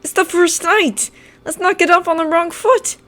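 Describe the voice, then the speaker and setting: A narrator voicing a friendly teenage boy who tries to calm a fight and pleads with the others. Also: extremely high pitch, normal speed, pitched above the performer's own voice. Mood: pleading. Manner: pleading, conciliatory.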